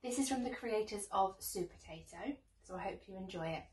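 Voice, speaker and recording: A young woman reading a rhyming bedtime story aloud to children in an expressive, sing-song storybook manner. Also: medium pitch, fairly quick, slight background noise. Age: young adult. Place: small bedroom, indoors. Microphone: camera a few metres away. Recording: roomy echo, distant microphone.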